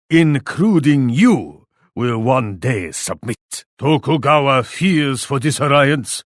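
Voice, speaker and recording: A man's voice: mid-range voice, no echo, clean studio sound. An actor playing a proud, grim Japanese warlord in a video game, solemn and declamatory as he addresses troops and allies. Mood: menacing, imperious, then despairing, solemn. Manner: solemn, declamatory, speaks of himself in third person. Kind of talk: theatrical video game voice lines.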